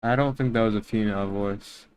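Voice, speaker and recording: Low, smooth-voiced man reacting casually. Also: a little fast, a little mellow, poor audio. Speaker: reaction video host, YouTuber. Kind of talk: casual off-the-cuff commentary.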